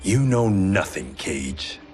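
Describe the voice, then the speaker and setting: Deep-voiced, grim proud swordsman. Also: sing-song, takes it slowly, a little mellow, exaggerated voice. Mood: defiant. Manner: solemn, resolute, terse. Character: grim, proud Japanese swordsman seeking to redeem clan. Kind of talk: video game cinematic acting.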